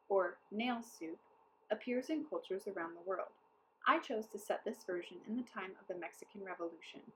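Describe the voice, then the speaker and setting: A woman reading a children's book aloud as narrator, with deliberate, careful enunciation. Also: mid-range voice, slight background noise, rough recording. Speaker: storybook reader. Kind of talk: scripted children's story read-aloud.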